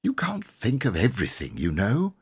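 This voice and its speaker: A man voicing a calm, practical older sister in a storybook reading. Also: medium pitch, moderate pace, slightly rough, pitched above the performer's own voice, exaggerated voice.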